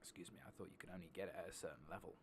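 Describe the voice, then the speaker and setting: Male ASMR game streamer, extremely low soft voice drifting into whispers, casual and rambling, noticeable noise with ambience and clicks. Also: steady tone, racing. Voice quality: soft-spoken, often slipping into whisper. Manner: casual, rambling, murmuring to himself. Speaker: streamer playing an online fantasy game. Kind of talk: ASMR soft-spoken and whispered gameplay commentary.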